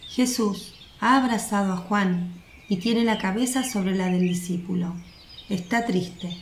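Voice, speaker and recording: A woman's voice: mid-range voice, unhurried. A woman giving scripted narration for a religious radio drama. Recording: echoey, faint noise with birdsong.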